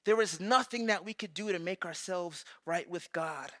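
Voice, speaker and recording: Pastor, man, 30s, lively stage sermon; high, bright tone, dry close mic. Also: moderate pace, no echo. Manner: animated, acts out quoted voices, swings loud and soft. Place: large church stage.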